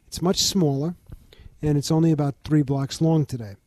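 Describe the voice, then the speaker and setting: Older Bronx-raised man, steady interview guest, warm and close. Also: a little high, dry recording. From the Bronx, New York. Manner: measured, informative, lists details. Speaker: museum founder, guest. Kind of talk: interview conversation.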